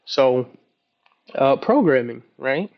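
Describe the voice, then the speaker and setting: A man in his early thirties talking casually in a halting, rambling way, as if keeping a personal video journal. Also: mid-range voice, lively intonation, gravelly, dull audio. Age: early 30s. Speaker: vlogger.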